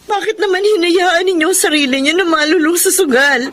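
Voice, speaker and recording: A woman's voice: very high voice, fairly quick, a bit bright. A woman playing a hurt, dutiful young elder sister in a radio-style drama, plaintive and reproachful, with a Filipino accent. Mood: reproachful, tearful.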